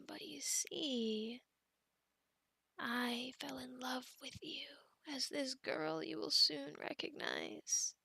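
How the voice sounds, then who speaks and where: A woman playing a possessive, lovestruck female werewolf in a whispered ASMR roleplay, slow and coaxing, soothing yet menacing. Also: sits high, bright, forceful tone, no room sound, exaggerated voice. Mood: coy, lovestruck. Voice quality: breathy whisper with creaky edges.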